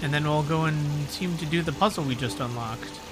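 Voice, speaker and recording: A man's voice: a little high, moderate pace, noisy background. A young man streaming a game, muttering wryly to himself as he puzzles through it. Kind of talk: casual gameplay commentary. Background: game music.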